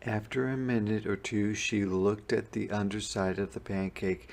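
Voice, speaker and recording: A man narrating a children's picture book in a deliberate, expressive storytelling manner. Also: on the low side, creaky. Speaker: storybook reader. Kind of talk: scripted children's read-aloud narration.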